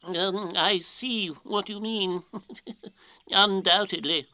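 A man's voice: sits high, fairly even intonation, sharp tone, smooth, no room sound, voice pushed up, exaggerated voice. A man voicing a pompous, bluffing owl in a children's story, hesitant and ponderous, humming and drawing out his words. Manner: hesitant, drawn-out, ponderous delivery with ums and hums. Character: wise-seeming, pompous, bluffing owl, slow and thoughtful. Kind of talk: children's storybook character reading.

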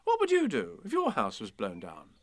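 A man voicing a kind, earnest young boy in a storybook reading, gentle and a little hesitant. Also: medium pitch, huge pitch swings, normal speed, dry recording.

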